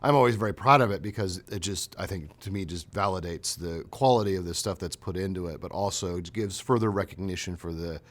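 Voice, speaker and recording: A man speaking as a producer in an interview, explaining his craft with halting, rephrased sentences. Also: low voice.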